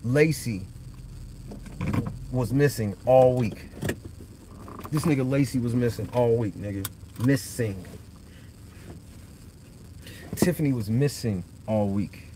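A man's voice: medium pitch, unhurried, pauses often, mellow, a little hiss or hum. A man in his thirties hosting a livestream, talking loosely and profanely in casual chat from a parked car at night into a phone on the dashboard. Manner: loose, profane, rambling talk. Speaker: livestream host.

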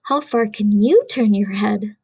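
A woman reading a children's picture book aloud as a storyteller, in an expressive way aimed at young listeners. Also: medium pitch, a little slow, slightly rough.

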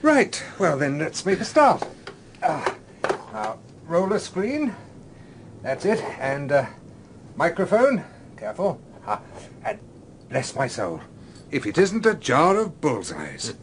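An actor playing an older, courteous British gentleman scholar in a radio drama, musing and sometimes hesitant. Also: a little high, wildly swooping pitch, takes it slowly, long pauses, noisy background, heavily acted. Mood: cheery, bustling. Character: genial, philosophical English gentleman scholar, older, gently evasive.

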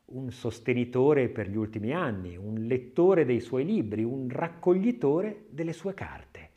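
A male audiobook narrator reads a literary documentary text. His mid-pitched voice moves through a wide, lively range of intonation. He phrases with measure and places dramatic emphasis on key words. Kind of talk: scripted documentary narration, expressive literary reading.

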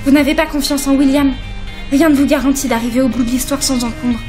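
A woman's voice: sits high, flat delivery, fast, close to an everyday voice. A woman playing a brave, loyal fantasy heroine in an audio drama, earnest, formal and reassuring. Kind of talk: French audio drama acting. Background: music.

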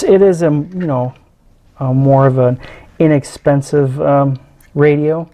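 A middle-aged man giving casual, unscripted hands-on commentary in a halting, hesitant way as he presents a teardown. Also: mid-range voice, mellow. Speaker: electronics hobbyist presenter. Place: indoors at a tabletop.

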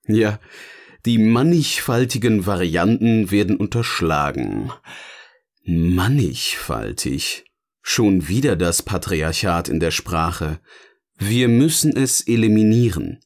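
Man acting as sardonic male narrator, eloquent, very slow delivery, theatrical, pondering, audio drama. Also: fairly low pitch, expressive. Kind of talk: scripted audio drama dialogue, philosophical musing.

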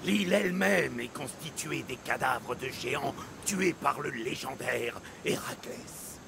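Dramatic old greek sailor in a game, gravelly, swoops up and down. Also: male voice, medium pitch, lively intonation, unhurried, noisy background. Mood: storytelling. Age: older. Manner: theatrical, expansive. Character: excitable old Greek sailor, theatrical storyteller. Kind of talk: dramatic video game acting.

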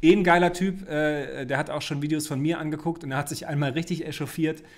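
A man in his forties chats loosely from his home studio, voice sitting a little high for a man. He trips over words and corrects himself as he goes, casual and unscripted, close on a studio microphone. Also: fairly even intonation, quick pace. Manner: rambling, chatty, frequent self-corrections. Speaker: reaction video host. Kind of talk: casual reaction commentary. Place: home studio with desk and shelves. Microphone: studio mic on desk, headphones.

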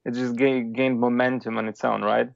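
A man from Poland with a Polish accent, a philosopher guest talking casually, with a halting manner full of restarts and fillers. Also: mid-range voice, little pitch movement, creaky, no room sound, dull audio. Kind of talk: casual interview talk.

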